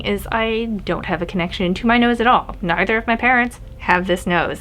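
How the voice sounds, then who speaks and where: A woman's voice: mid-range voice, a bit bright, a little hiss or hum. A young adult woman talking candidly to camera in a casual personal vlog, laughing now and then. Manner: candid, chatty, laughs often. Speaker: vlogger.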